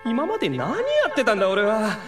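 An actor playing a flustered, lovesick high school boy who stammers and mutters to himself in a Japanese audio drama. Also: very animated, brisk. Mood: brooding. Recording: music under the voice.

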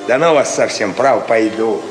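An actor playing a careless young farmhand in a 1950s Soviet radio drama, offhand and dismissive, with speech. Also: male voice, on the low side, moderate pace, over loud music, lightly acted. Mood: cocky, dismissive. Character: cocky, careless young Russian peasant farmhand. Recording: roomy vintage radio studio recording.

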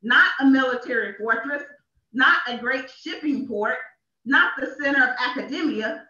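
A woman's voice: high voice, moderate pace, pushed and bright, far from the mic. A woman minister delivering a sermon in an emphatic way, repeating phrases for effect, in a small church. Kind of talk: sermon read with deliberate emphasis. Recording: echoey, dull church livestream from off.

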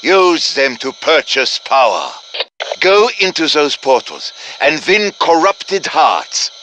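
An actor playing an urgent, commanding professor who briefs soldiers in a deliberate, dramatic manner, as video game voice lines. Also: male voice, a little high, very animated, bright, forceful tone, a little hiss or hum, exaggerated voice. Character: urgent, commanding male professor guiding soldiers against demons. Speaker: game character voice, mission guide.

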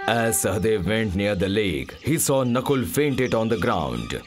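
A man's voice: on the low side, animated, a little slow, over music. A man narrating a mythological story in a scripted storytelling style.

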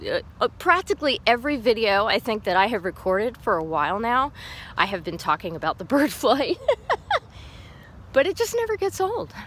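A woman's voice: fairly high pitch, sing-song, normal speed, a bit bright, slightly rough. A middle-aged woman chatting cheerfully on a live walking vlog, laughing often, recorded outdoors on a phone held at arm's length. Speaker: vlogger. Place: outdoors on a path in a park.

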